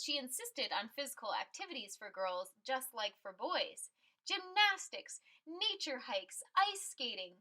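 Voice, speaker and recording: A woman reads a picture book aloud in a bright, high voice. Her storytelling is expressive and emphatic, with each phrase clearly set out for listeners. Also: poor audio.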